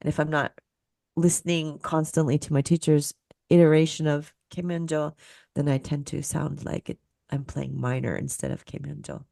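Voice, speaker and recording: Low, gravelly woman explaining on a video call. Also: level tone, moderate pace, dark tone, dry recording, clean studio sound. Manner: thoughtful, searching for words as she explains. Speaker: guest speaker, musicologist and gayageum player.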